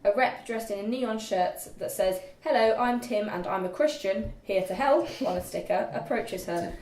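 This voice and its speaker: A woman's voice: medium pitch, normal speed, darkish tone. A young woman reading screenplay directions aloud as narrator at a group table read. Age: young adult. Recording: distant echoey room, faint noise.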